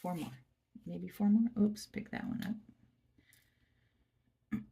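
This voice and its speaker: Soft-spoken stuffy woman, gravelly and mellow. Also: mid-range voice, steady tone, slow pace. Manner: soft, chatty, murmuring to viewers. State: stuffy nose. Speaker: craft channel host. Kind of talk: casual chat while crafting. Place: at her workplace. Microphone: phone camera.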